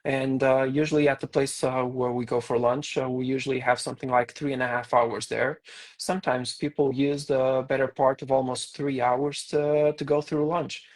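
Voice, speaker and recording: A man with a Portuguese accent, a tour guide co-hosting a casual podcast, talking with many pauses and fillers. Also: mid-range voice, little pitch movement.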